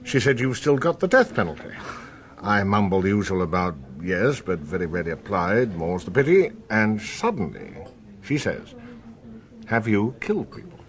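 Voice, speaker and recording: World-weary French police inspector, lowish voice, very varied intonation, sardonic, radio drama. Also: male voice, sing-song, normal speed. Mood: wry recounting. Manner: sardonic, colloquial storytelling. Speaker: actor. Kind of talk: naturalistic radio drama acting.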